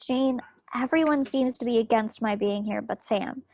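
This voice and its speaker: A woman's voice: fairly high pitch, moderate pace, creaky, darkish tone, dry recording. A woman playing a stubborn, spirited young 1940s war wife with folksy directness, in a play reading over a video call. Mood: defiant. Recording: muffled video-call audio.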